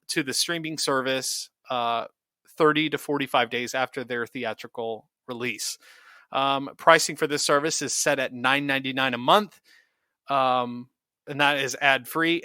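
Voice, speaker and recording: A man with a bright, forceful voice at a medium pitch presents as a podcast co-host. The recording is dry and free of room sound. His delivery is that of a semi-scripted news rundown. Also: dry recording.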